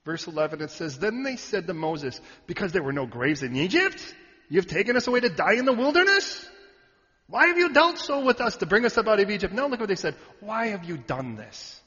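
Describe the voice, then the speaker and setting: A man preaching a sermon, animated and joking with the audience, sometimes shouting out a word. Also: high-pitched, a little fast. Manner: animated, shouting at peaks, jokey asides to the audience. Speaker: pastor. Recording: muffled hall recording.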